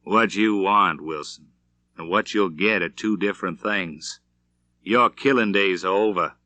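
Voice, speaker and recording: Guy doing a calm western gunslinger for an audiobook, low and controlled, few words, quietly dangerous. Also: measured pace, dry recording. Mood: quiet, resolute. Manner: gentle, terse, controlled. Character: cool, quietly menacing gunfighter of the Old West. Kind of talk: audiobook character reading.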